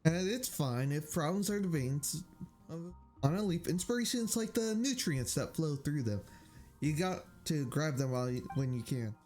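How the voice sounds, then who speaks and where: A man's voice: on the high side, big pitch swings, moderate pace, darkish tone. A man voicing a playful, poetic wandering bard, reading game dialogue aloud with whimsical phrasing and small stumbles. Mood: kindly. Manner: whimsical, flowery, stumbles over read lines. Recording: game music behind.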